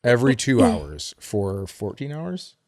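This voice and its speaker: A man co-hosting a podcast, telling gross stories in a lively, joking way while getting over being sick. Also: mid-range voice, measured pace, dry recording, clean studio sound. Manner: animated, graphic storytelling with playful teasing. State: recovering from illness, sore ribs. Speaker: podcast co-host. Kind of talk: casual comedic chat.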